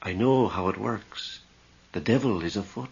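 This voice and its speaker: Earnest radio-drama priest: lowish grave voice, admonishing, fearful of evil, muffled old recording. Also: male voice, moderate pace, gravelly, a little mellow, slightly rough, full character voice. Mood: urgent warning. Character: solemn, disapproving male priest, fearful of evil.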